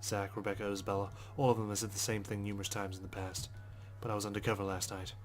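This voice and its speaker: A man's voice: fairly low pitch, flat delivery, quick pace, faint music behind. A man reading visual novel narration aloud for a let's play in a dry, understated way.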